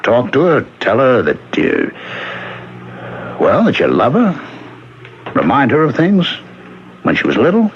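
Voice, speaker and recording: An actor in a 1970s radio drama playing an earnest former hobo, insistent and pleading in manner. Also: male voice, mid-range voice, huge pitch swings, slow, long pauses. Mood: pleading, exasperated. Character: earnest, persistent working man, former hobo. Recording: dull, noisy old radio recording.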